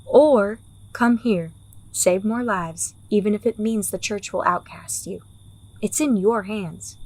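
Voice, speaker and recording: A woman's voice: medium pitch, slow pace, darkish tone, poor audio. A woman playing a world-weary, pragmatic underworld operative in a fantasy audio drama, blunt and wry with a teasing edge. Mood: firm, persuasive.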